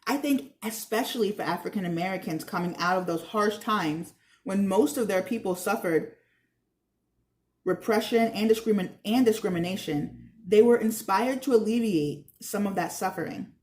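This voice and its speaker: A woman's voice: medium pitch, moderate pace, a little mellow, natural voice. A kindly, wise handyman from a storybook, read by a woman, passes on history. He explains patiently and earnestly, encouraging the listener to look closer.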